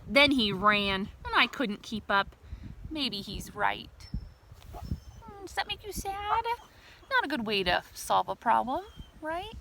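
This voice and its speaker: A woman reads a picture book to children in a fairly high, very animated voice. She slips between expressive storytelling and chatty teacherly asides, posing questions to young listeners. She is outdoors, and there is clear background noise around her voice. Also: slow pace. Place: outdoors in a communal space by a river. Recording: noisy outdoor recording.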